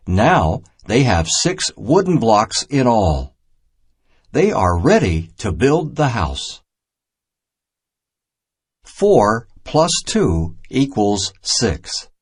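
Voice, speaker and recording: Male narrator for a kids' educational storybook: deliberate, unhurried read, animated intonation, clearly separated phrases. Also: medium pitch. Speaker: narrator of a children's math storybook. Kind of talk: scripted read-aloud narration, simple and instructional.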